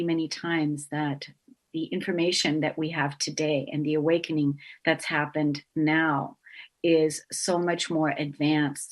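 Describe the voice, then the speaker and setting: A woman with a low, deep-set voice hosts a spiritual talk show. She speaks in an earnest, reflective way, pausing on ums as she gathers her thoughts. She is heard over a remote call. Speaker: guest host. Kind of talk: spiritual talk radio chat.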